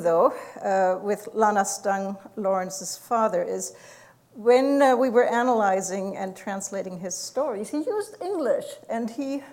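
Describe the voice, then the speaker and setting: Gravelly-voiced woman giving a university lecture. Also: mid-range voice. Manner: explanatory, with frequent fillers and soft laughs. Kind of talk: academic lecture.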